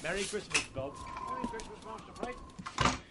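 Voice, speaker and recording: An actor playing a miser's cheerful, warm-hearted young nephew, earnest and hearty, in a radio drama. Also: male voice, high voice, expressive, normal speed, heavily acted. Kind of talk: theatrical radio drama acting.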